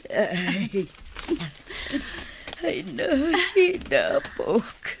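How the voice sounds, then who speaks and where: A woman's voice: fairly high pitch, huge pitch swings, extremely slow, creaky, a little hiss or hum, exaggerated voice. A woman acting a remorseful, emotional old grandmother with an Ilocano Filipino accent in a radio drama. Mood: tearful, remorseful.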